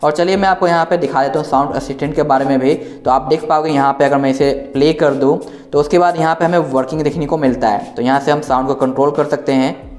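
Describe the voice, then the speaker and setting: A man's voice: on the high side, fairly even intonation, fast talker, a little mellow. An Indian-accented man hosting an informal tech walkthrough, explaining things directly to viewers. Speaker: tech reviewer, host. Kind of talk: informal smartphone software walkthrough. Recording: echoey, roomy sound.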